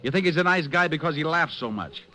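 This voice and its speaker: An actor playing a cocky, sardonic American private detective in an old-time radio drama, wisecracking and hot-tempered. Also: male voice, fairly high pitch, expressive, fast talker, sharp tone. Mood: wry narration. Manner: sardonic, flippant.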